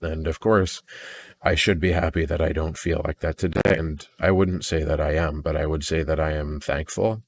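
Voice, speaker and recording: Guy with a really low voice doing a personal audio diary, kinda downcast, stumbles and restarts a lot. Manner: halting, repeats words and restarts sentences. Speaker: audio diarist. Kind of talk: personal audio log, unscripted monologue.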